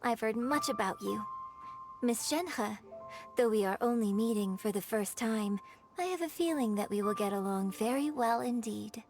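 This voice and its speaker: A woman's voice: on the high side, moderate pace, natural voice. A woman playing a refined, courteous young opera performer in a video game, speaking formally and articulately. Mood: gracious. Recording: clear background music under the voice.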